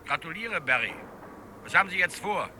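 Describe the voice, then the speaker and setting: A man's voice: fairly high pitch, animated, brisk, bright, forceful tone, a little hiss or hum. A man playing a curt, commanding police superior in a German radio crime drama.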